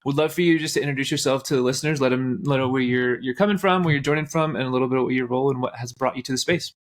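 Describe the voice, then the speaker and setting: A man's voice: medium pitch, very fast. Friendly rambling man hosting a podcast. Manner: friendly, self-deprecating, rambling. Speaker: podcast co-host. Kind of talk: casual interview hosting.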